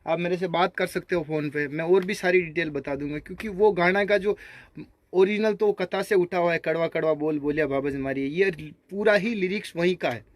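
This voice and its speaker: A man speaking informally to viewers, remonstrating in a halting, repetitive way. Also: sits high, no echo. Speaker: singer. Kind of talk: informal spoken video response to viewers.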